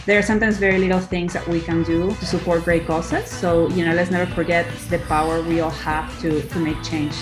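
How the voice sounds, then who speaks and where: A young woman from Peru talks as a guest on a film podcast. Her voice sits a little low, and she speaks earnestly, often restarting words as she thinks aloud. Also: steady tone, a little fast, hardly any pauses, over music. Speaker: documentary film director, guest. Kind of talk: podcast interview.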